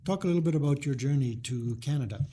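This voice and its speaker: Mellow-voiced man hosting a faith podcast. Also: medium pitch, breathy edge. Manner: unhurried, with frequent filler sounds and listening murmurs. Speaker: podcast host. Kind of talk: relaxed podcast interview.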